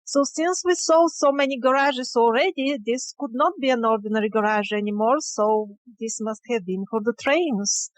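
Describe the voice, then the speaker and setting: A woman's voice: on the high side, moderate pace, hardly any pauses, no echo. A woman interview guest explaining her field findings conversationally, with emphatic but hesitant phrasing. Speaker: interview guest, independent researcher.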